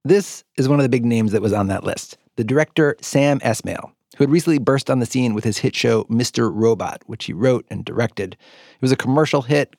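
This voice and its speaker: A man's voice: mid-range voice, vocal fry, a little mellow, no echo, studio quality. An American man hosting and narrating a podcast in a scripted but conversational storytelling style.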